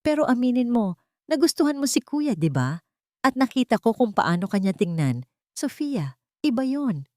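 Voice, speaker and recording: A woman's voice: fairly high pitch, big pitch swings, moderate pace, a little mellow, no echo, clean studio sound, voice pushed up. A woman voicing a sweet, coaxing young female best friend in an audio drama. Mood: pleading, coaxing.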